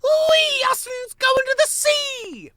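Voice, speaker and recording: A squeaky baby squirrel. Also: male voice, drawn-out words, heavy background noise, heavily acted. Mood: excited. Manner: shrill, squealing.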